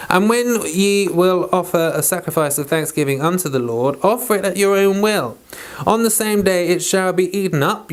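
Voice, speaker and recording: British man, slightly high voice, Bible read-along, informal commentary, rambling delivery. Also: smooth. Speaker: Bible reader and teacher.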